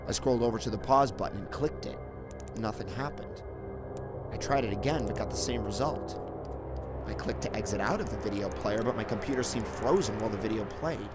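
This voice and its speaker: A man's voice: lowish voice, brisk. A man reading a scripted first-person horror story in a suspenseful, theatrical way. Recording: narration over clearly audible background music. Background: background music.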